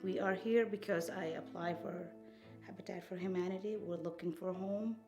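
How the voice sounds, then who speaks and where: A woman's voice: lowish voice, fairly even intonation, normal speed. A woman from South Sudan, a future homeowner, giving a plain, slightly hesitant personal testimony. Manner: plain, a little hesitant, with fillers. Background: soft guitar music.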